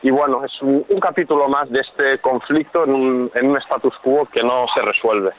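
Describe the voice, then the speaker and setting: A man from Catalonia with a Spain Spanish accent, a freelance journalist calmly explaining events as a radio interview guest, pausing often to search for words. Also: medium pitch, phone-quality sound, slight background noise. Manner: measured, explanatory, frequent hesitations.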